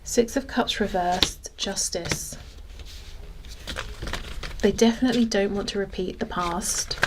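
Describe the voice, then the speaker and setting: A woman's voice: medium pitch, slow, very noisy. A woman giving a casual tarot reading, thinking aloud in a conversational way. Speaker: tarot reader.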